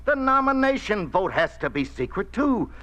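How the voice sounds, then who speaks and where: An actor playing a defiant, earnest union leader in a 1950s radio drama, argumentative and easily flustered. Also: male voice, high-pitched, very animated, normal speed, pushed and bright, highs cut off, heavily acted. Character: indignant, defiant male union leader, flustered when cornered.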